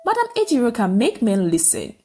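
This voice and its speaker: A woman's voice: a little high, wildly swooping pitch, fairly quick, warm tone. A woman storyteller voicing a cunning, pushy young woman, a scheming false friend in a storybook tale, coaxing and calculating. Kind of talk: storybook character dialogue.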